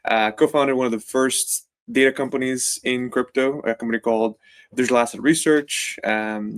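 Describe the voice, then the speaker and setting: A man in his thirties from Brazil, a company founder answering interview questions in a measured, explanatory way with frequent fillers. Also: medium pitch, no echo.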